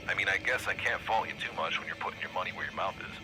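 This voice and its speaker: A skeptical radio interviewer played by a man with a fairly low voice. He questions in a dry, sardonic, incredulous manner for a satirical broadcast, with music clearly audible underneath. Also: fast talker, lightly acted, low-quality recording. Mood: dry disbelief. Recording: music behind the voice.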